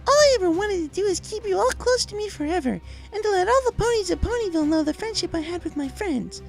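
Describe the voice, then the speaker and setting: A woman voicing a deranged, murderous female pony in a shrill, theatrical manner for a dramatic story reading. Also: expressive, quick pace, gravelly, faint music behind, full character voice, rough recording. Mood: bitter menace. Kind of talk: dramatic fan fiction audio reading.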